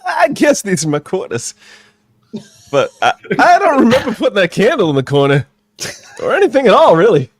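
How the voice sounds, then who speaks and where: A woman playing a brisk, flirtatious female starship officer in an amateur comedic radio play. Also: medium pitch, sing-song, dry recording. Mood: uncertain. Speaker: podcaster acting in script reenactment.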